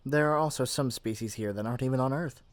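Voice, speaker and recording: A man's voice: mid-range voice, quick pace, no echo, close to an everyday voice. A man playing a lovestruck, possessive young male witch in an ASMR roleplay, chatty and gushing with flustered hesitations. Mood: excited, gushing. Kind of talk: ASMR roleplay addressed to the listener.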